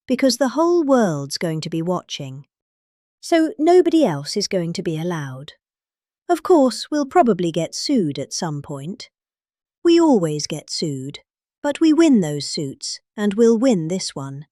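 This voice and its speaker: A woman's voice: fairly low pitch, mellow, dry recording, studio quality. A middle-aged British woman reading a speech aloud in a theatrical, conversational manner, posing rhetorical questions as she goes. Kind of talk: dramatic read-aloud of a speech transcript.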